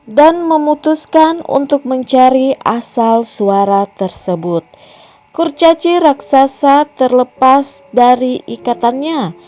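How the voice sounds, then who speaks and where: A woman narrating a film recap in a slow, measured, scripted reading style. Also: a little high, a little mellow, dull audio.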